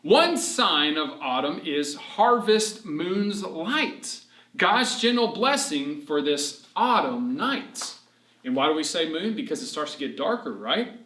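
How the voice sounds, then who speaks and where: A man with a high, very expressive voice reads a storybook lesson to young children. He is encouraging and prompts his listeners with questions, stretching key words for emphasis. The sound is roomy, with noticeable echo. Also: slow. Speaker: children's ministry storyteller and teacher. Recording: roomy, echoing room sound.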